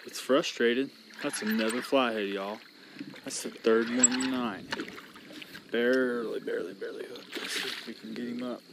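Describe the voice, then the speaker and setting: A man's voice: mid-range voice, moderate pace, background noise. A young man hosting a fishing vlog from a riverbank, talking casually to the camera as he reels in fish. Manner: relaxed, thinking aloud while reeling in fish. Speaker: fishing channel host. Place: riverbank outdoors, day into night. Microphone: action camera on the body.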